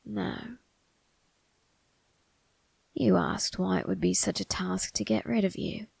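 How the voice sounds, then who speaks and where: A woman voicing an ancient male undertaker in an ASMR roleplay, sly and teasing, with a breathy, husky, creaking voice and formal phrasing. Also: medium pitch, fairly even intonation, a little mellow, no echo. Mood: quietly knowing. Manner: slow, formal, teasing delivery with drawn-out tag questions.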